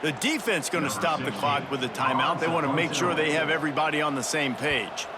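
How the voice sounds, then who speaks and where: A man playing a video game's football play-by-play announcer, calling each snap in brisk, descriptive lines that lift on big plays. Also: a little high, heavy background noise, close to an everyday voice. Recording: game audio over loud crowd and music.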